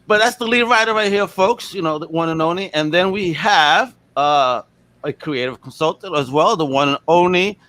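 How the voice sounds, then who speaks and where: A male podcast host with a bright, forceful voice pitched a little high. He talks in a casual, slangy way, hyping things up with exclamatory enthusiasm as he chats. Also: moderate pace, no echo. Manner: hype-man enthusiasm, exclamatory. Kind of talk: upbeat casual interview chat with slang.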